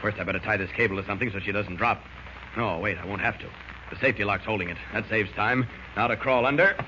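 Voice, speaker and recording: An actor playing a heroic, resolute superhero, urgently thinking aloud in 1940s radio serial style. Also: male voice, fairly low pitch, fast, pushed and bright. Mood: urgent, determined. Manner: dramatic, urgent self-narration. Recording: noisy, dull old radio recording.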